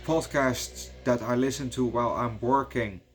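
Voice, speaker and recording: Dutch man, native speaker, middle-pitched, casual rambling reviewer, swears a lot. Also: steady tone, moderate pace, soft background music. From Netherlands. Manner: rambling, swears often, peppers talk with "you know". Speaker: movie reviewer.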